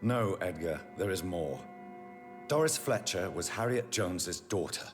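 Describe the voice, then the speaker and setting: Music behind, grave gentleman vampire for a game, lowish voice, stern n accusing, very formal. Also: expressive, measured pace. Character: grave, accusing English gentleman vampire doctor, brooding and righteous. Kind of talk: dramatic video game cutscene acting.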